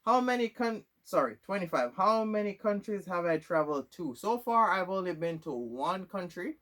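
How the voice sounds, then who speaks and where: A man's voice: high voice, lively intonation, moderate pace, fairly bright tone. A young man from Jamaica, answering questions about himself in a loose, rambling vlog monologue full of fillers and restarts. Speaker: vlogger. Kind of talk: casual Q&A monologue.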